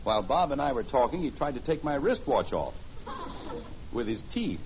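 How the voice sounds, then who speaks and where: A man's voice: mid-range voice, big pitch swings, normal speed. An actor playing a genial, middle-aged suburban father with dry, teasing humor in a 1950s radio sitcom. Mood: wry. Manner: dry, gently wry humor. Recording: muffled, noisy vintage radio recording.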